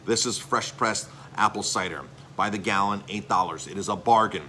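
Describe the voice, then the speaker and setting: A middle-aged man runs through his restaurant's news in a chatty, salesy way, with plenty of ums and restarts. His voice sits a little low but comes across bright and forceful. A faint hum of noise stays in the background. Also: normal speed. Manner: chatty, salesy, filler-heavy rambling. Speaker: chef and restaurant owner. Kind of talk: casual live-stream update.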